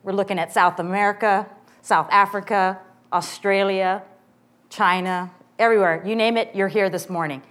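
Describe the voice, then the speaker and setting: A woman in her forties with a loud voice, giving a lively, joking inspirational talk to a women's morning gathering. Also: medium pitch, moderate pace. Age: 40s. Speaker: guest speaker.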